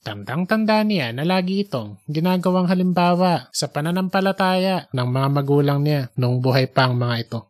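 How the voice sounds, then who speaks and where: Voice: mid-range voice, normal speed, few pauses. A storyteller narrating an audiobook in a casual, humorous style.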